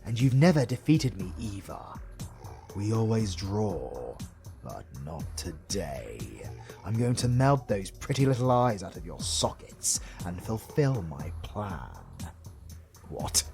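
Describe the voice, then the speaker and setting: A man acts out a British comic-book supervillain with a highly animated, gravelly voice. He is theatrical and menacing, yet easily exasperated and secretly smitten with his heroine rival, in a romantic comedy audio drama. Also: takes it slowly, soft background music, heavily acted. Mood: menacing, accusing, then defensive, flustered.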